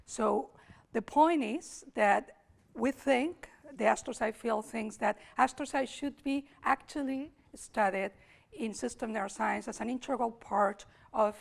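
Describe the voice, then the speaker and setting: A woman with a Spanish accent, hoarse today, giving an academic lecture at a summer school, thinking aloud with restarts and repeated words. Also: on the high side, a little slow. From Spain.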